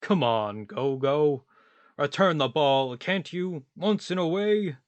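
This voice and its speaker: Brooding tramp for radio drama, played by a man: pedantic, insistent, ponderous pacing, mid-low pitch, dry close sound. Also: animated, slow pace, clean tone, dry recording, highs cut off. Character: brooding, pedantic, exasperated tramp who philosophizes. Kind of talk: radio play dialogue.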